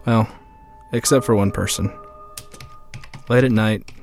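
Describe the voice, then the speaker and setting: A wry, lonely ex-boyfriend. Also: male voice, lowish voice, fairly even intonation, unhurried, vocal fry, a little mellow. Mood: lonely, subdued. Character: wry lonely heartbroken ex-boyfriend telling his story. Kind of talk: first-person narration in an audio drama. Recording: music under the voice.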